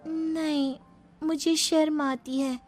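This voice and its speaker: Woman acting a high, coy young bride. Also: level tone, slow, a little mellow, soft background music. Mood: shy. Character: coy, cheeky young one-eyed bride. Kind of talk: cartoon moral story acting.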